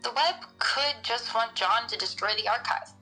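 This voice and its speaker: A woman's voice: a little high, normal speed, bright, forceful tone, rough recording. A woman in an in-character panel discussion, reasoning things out in a matter-of-fact way. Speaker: podcast panelist in character as a researcher. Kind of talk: semi-improvised in-character discussion of a horror audio drama. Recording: music playing behind the voice. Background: music.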